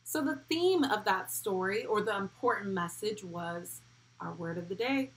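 A woman's voice: medium pitch, moderate pace, poor audio. Young adult woman, children's educator, mid-range voice, upbeat encouragement, direct address. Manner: encouraging, animated praise. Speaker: children's read-along host and school speech therapist. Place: small furnished room at home, indoors.

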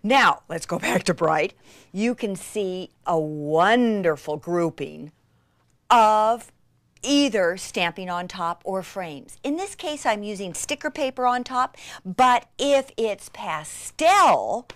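Woman doing a craft demo, super excitable and gushy, slow paced w soft breathy asides, close dry sound. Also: mid-range voice, dry recording. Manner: excitable and gushing, with breathy exclamations; drops into soft, near-whispered asides. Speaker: craft demonstrator and presenter. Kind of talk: enthusiastic crafting tutorial to camera.

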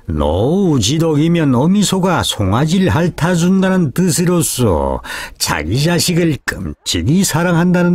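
A slow musing old scholar. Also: male voice, on the high side, darkish tone. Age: older. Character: elderly widowed scholar father, gentle. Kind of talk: cartoon voice acting.

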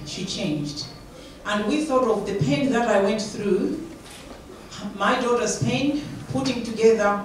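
A woman from Malawi telling her own story at a community panel, speaking steadily into a handheld mic. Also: mid-range voice. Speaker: community organization founder on a panel. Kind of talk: personal story at a panel talk. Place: large conference room. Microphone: handheld mic, heard from far. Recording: echoey room, distant, some noise.

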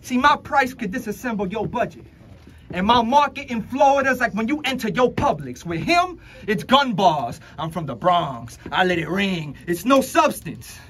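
Young guy spitting battle bars, real high voice, aggressive and taunting, packed indoor room. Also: big pitch swings, moderate pace, fairly bright tone. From Dominican Republic. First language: Spanish. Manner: aggressive, taunting, punchline-heavy. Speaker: battle rapper. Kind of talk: battle rap verse. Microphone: handheld camera at close range.

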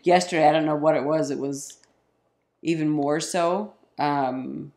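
Woman a bit raspy from a cold. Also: sits low, measured pace. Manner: relaxed, chatty storyteller with ums. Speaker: vlog co-host. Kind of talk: casual chat. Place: living room, on a couch. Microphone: camera in front.

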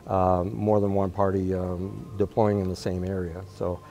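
A man's voice: deep voice, flat delivery, normal speed, dark tone. A man in his fifties demonstrating equipment in an unscripted, explanatory way with frequent hesitations. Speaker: product marketer demonstrating equipment. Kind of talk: unscripted product demonstration and interview answers. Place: indoor trade event interview spot.

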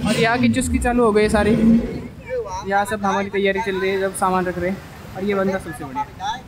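A man's voice: high-pitched, level tone, fairly quick, a crowd in the background. A man casually showing viewers around in a travel vlog, upbeat as he points things out.

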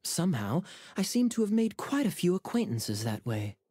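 A man's voice: high-pitched, big pitch swings, moderate pace, dark tone. A man voicing a suave, wry young knight captain in a video game. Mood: easygoing.

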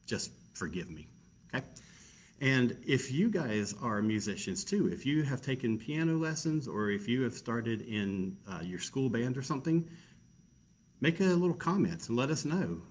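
A man's voice: medium pitch, breathy edge. A man reading a picture book aloud to children in a casual, chatty way, with self-deprecating asides. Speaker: library storytime reader.

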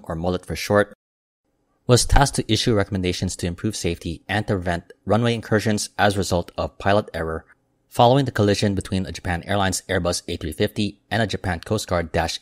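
A man with a deep, low voice reads aviation news in a steady, measured way. The recording is dry and close, with no room sound around him. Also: no room sound. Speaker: aviation news channel host. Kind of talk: scripted news narration. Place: voice-over, not recorded in the pictured airport.

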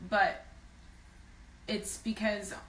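A woman's voice: medium pitch, little pitch movement, very slow, a bit bright, distant mic, slight background noise. Young woman chatting, echoey room. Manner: easygoing, chimes in with short additions. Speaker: singing duo member. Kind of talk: casual live-stream chat. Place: small indoor room. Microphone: phone camera at a distance.

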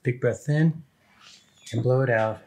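A man's voice: medium pitch, a little slow, darkish tone. A middle-aged man, a chiropractor, giving casual, short, plain hands-on instructions to a patient. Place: small indoor treatment office. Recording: clearly noisy room with clicks.

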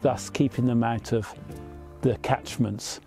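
A man in his fifties, a farmer, explaining his farm practices in a measured, plain way, outdoors in an open field. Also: mid-range voice, steady tone, vocal fry, warm tone, soft background music. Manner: measured, plain explanatory talk with small fillers.

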